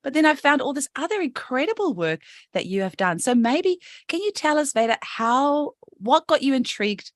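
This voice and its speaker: A woman's voice: sits high, sing-song, normal speed, no echo, studio quality. A woman with a New Zealand accent hosting an interview podcast, bubbly and chatty, laughing often and asking rambling questions. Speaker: podcast host. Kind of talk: casual interview chat.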